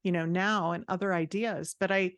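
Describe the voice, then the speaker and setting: A woman's voice: fairly low pitch, fairly even intonation, moderate pace, dry recording. A woman speaking as a guest author and writing coach in a casual podcast conversation.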